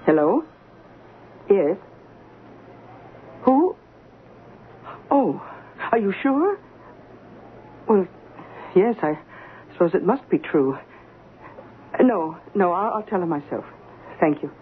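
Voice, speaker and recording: A woman's voice: fairly low pitch, big pitch swings, slow pace, long pauses, slight background noise. An actress in a 1970s radio drama playing a wry, plainspoken middle-aged unmarried woman with a dry, bantering manner. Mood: distressed. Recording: narrow-band old radio broadcast recording.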